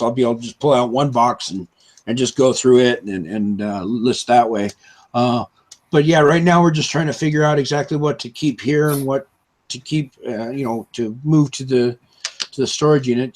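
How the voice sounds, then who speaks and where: A man with a chest cold co-hosting a live stream over a video call, chatty and upbeat with lots of fillers. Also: medium pitch. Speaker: co-host. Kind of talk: casual live-stream chat.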